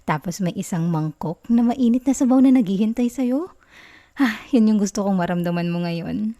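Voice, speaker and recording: A woman with a Filipino accent playing a sweet, bashful, doting girlfriend in an affectionate ASMR roleplay, talking softly and coyly in a giggly, tender way. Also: medium pitch, lightly acted. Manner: soft, giggly, coy, tender.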